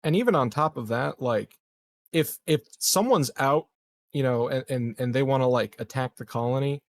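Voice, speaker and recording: A man playing a tabletop game, talking casually and informally in halting phrases. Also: mid-range voice, dry recording. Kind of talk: casual chat.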